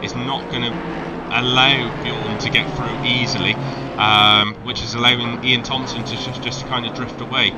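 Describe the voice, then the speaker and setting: A man's voice: medium pitch, level tone, moderate pace. A man with a Welsh accent giving live sim racing commentary, hesitant with many ums and restarts. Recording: loud background noise.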